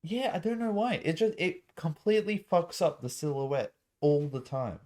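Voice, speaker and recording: A man's voice: a little high, normal speed, warm tone. A man co-hosting a podcast, chatting casually and bluntly with plenty of swearing and slang. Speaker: podcast co-host. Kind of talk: casual chat.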